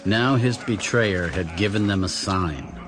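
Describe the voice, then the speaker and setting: A man's voice: low-pitched, a little slow. A man narrating a dramatized audio Bible in a scripted storytelling style. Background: music.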